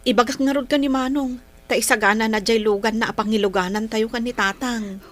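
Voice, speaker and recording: A woman's voice: on the high side, fairly even intonation, a little fast, natural voice. A woman playing a concerned, exasperated grown daughter in a radio drama, complaining earnestly with a Filipino accent. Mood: worried. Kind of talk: Ilocano radio drama acting.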